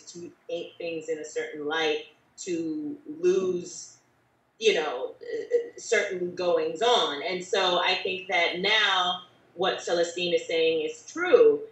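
A woman in her thirties making earnest, formally phrased points in a panel discussion over a video call. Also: lowish voice. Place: bedroom at home. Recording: dull, roomy, distant video-call sound.

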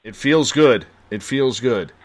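A man's voice: mid-range voice, takes it slowly, no room sound. A man with a Canadian accent hosting a late-night radio show, laid-back and joking.

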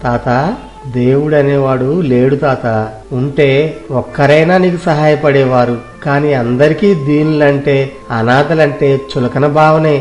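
A man's voice: medium pitch, steady tone, measured pace, a little mellow, natural voice. A man voicing a kind, humble young village cloth peddler in a story, earnest, deferential and pleading. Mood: bitter, sad. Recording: roomy echo, music behind.

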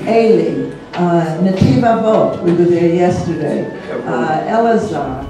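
Very echoey, distant woman, pausing often. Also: fairly low pitch, lively intonation. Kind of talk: casual listing of places. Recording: very echoey, distant, music over.

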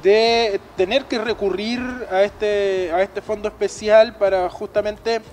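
A man asking questions as a field news reporter, in a halting way with repeated words. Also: high-pitched, fairly even intonation, a little mellow. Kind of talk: live interview questioning. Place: outdoors at a restaurant terrace. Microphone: handheld reporter microphone. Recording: outdoor street noise with traffic.

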